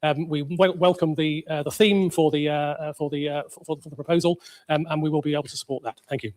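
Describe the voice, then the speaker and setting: A man with a slightly high voice speaks formally in a council debate. His words come in quick bursts, broken by many hesitations and repeats. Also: fairly even intonation, darkish tone. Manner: halting, full of hesitations and repeats. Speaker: county councillor.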